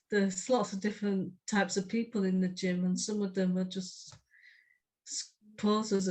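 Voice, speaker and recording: A woman's voice: lowish voice, warm tone. A woman co-hosting a podcast, reading her own poetry and chatting casually between pieces. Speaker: podcast co-host, poet.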